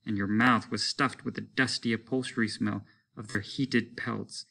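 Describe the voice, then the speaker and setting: A male teacher reading a short story aloud in a measured, descriptive way. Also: fairly low pitch, fairly even intonation, gravelly, bright, forceful tone.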